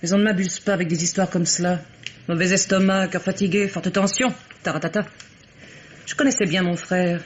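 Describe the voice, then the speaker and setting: An actress playing a domineering, imperious aunt in an old French radio mystery, curt and commanding. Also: female voice, on the low side, fairly quick, natural voice. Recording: dull vintage radio sound, clear hiss.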